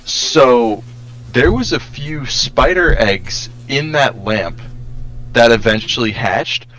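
A man's voice: medium pitch, animated, takes it slowly, fairly bright tone, slight background noise. A young man co-hosting a podcast in casual, joking group conversation. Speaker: podcast co-host. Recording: poor podcast audio with playback bleed.

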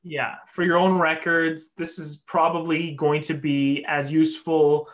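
A co-host calmly explaining immigration consequences in casual professional talk, with frequent agreeing replies. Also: mid-range voice, measured pace. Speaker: co-host commenting on immigration rules.

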